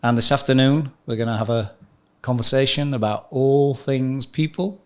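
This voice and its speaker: A British man hosting a business podcast, introducing his guest upbeat and asking halting questions. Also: mid-range voice, clean tone. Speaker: podcast host. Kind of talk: interview hosting.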